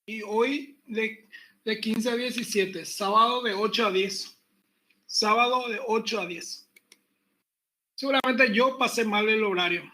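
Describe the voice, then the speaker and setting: A man teaching an online university class, relaxed and chatty, repeating his points and joking with the students. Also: high voice, measured pace, low-quality recording. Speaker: university pathology lecturer. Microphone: computer mic on a video call.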